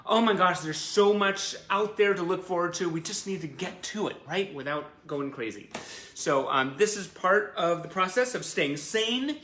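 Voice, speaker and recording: A man's voice: high voice, animated, normal speed, bright, forceful tone. A man hosting a casual livestream for fans, speaking in an effusive, gushing and encouraging way. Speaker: host of a fan livestream show. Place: indoor room, live online stream. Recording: roomy echo, faint background noise.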